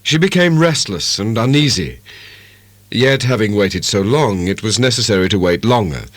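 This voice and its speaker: A man giving a measured, dramatic narration of a classic novel. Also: fairly low pitch, lively intonation, no room sound. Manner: measured, literary storytelling. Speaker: audiobook narrator.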